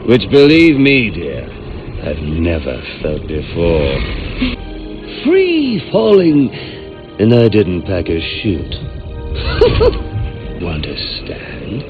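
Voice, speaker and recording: A theatrical, unhinged clown villain, purring slowly and swinging his pitch wildly between whispers and squeals. It is a video game scene with tinny, phone-like sound buried under a loud musical score. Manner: purring, theatrical, swooping pitch, cackling laughs.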